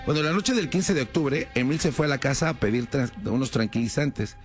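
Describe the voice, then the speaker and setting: Man, true crime storyteller, conversational, filler-heavy, mid pitch, quiet music behind. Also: fairly quick. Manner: conversational, frequent "pues" fillers and tag questions.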